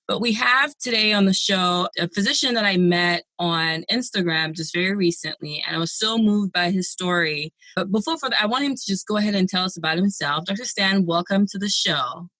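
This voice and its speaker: A woman's voice: on the low side, fairly bright tone, no room sound, low-quality recording. A woman in her forties hosting a podcast, upbeat and encouraging as she introduces and questions her guest. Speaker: podcast host. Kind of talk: podcast interview and scripted intro.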